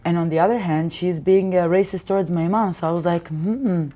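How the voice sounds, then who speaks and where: A woman from Israel, a guest comedian, telling lively stories in a casual interview and acting out quoted lines. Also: lowish voice, fast talker. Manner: animated storyteller, acts out quotes.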